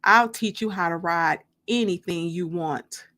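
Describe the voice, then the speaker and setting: Woman voicing a smooth, flirty biker guy, unhurried. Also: lowish voice, sharp tone, pitched below the performer's own voice. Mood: confident, flirty. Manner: teasing, self-assured. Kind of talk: audiobook dialogue.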